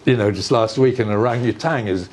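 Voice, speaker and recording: An older man giving an informal explanatory talk in a discursive, conversational way, with hesitant pauses and small asides. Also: lowish voice, darkish tone. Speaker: speaker on earth energies and ancient sites. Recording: live talk with clear background noise.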